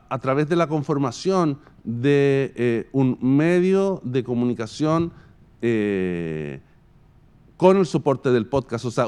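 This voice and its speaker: A man with a Chilean accent giving an explanatory business presentation as a company executive, in formal, halting, deliberate phrases with long winding sentences. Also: mid-range voice, darkish tone, clean tone. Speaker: radio and podcast company executive presenting a case study.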